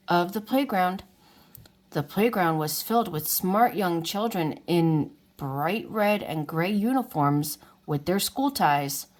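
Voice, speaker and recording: A woman reading a children's storybook aloud in an unhurried way, as if to young listeners. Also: lowish voice. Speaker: children's book reader. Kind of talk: read-aloud storybook narration.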